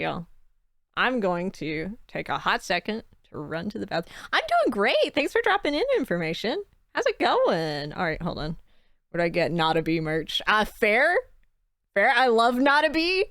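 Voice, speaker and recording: A bubbly woman streamer chatting between game sessions, her voice swooping up and down with plenty of gravelly fry. She talks in quick, scattered bursts, close and dry to the mic. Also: mid-range voice, fairly bright tone, no room sound. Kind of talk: casual livestream chat.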